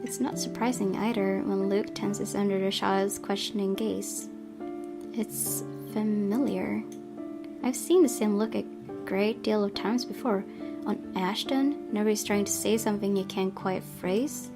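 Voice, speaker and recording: A woman's voice: mid-range voice, steady tone, a little slow. Woman narrating a visual novel over guitar music. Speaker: gameplay host reading aloud.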